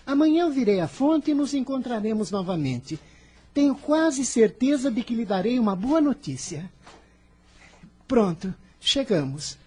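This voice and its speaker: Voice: mid-range voice, sing-song, darkish tone, husky. An actor voicing an earnest, kind fifteen-year-old boy in a radio drama, speaking in a solemn, formal way. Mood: reassuring, determined. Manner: solemn, measured, formal diction.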